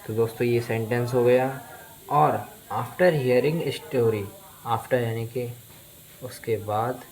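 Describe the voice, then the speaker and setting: A man's voice: mid-range voice, fairly even intonation, slow, darkish tone, poor audio. A man with an Indian accent, a native Hindi speaker, teaching English in a bilingual lesson, explaining word by word and repeating phrases.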